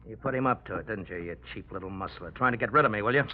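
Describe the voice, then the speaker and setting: An actor playing a jealous, sneering American club-owning gangster in a 1950s radio crime drama, sardonic and threatening. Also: male voice, lowish voice, racing, close to an everyday voice. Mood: angry, threatening. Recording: dull, faintly noisy vintage radio recording.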